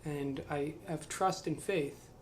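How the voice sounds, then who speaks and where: Slow, musing man reading aloud. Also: fairly high pitch, steady tone, darkish tone, slight background noise. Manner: slow, musing, self-questioning, trails off into mumbles. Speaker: channel host reading a book aloud. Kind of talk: reading aloud with reflective commentary. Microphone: to camera.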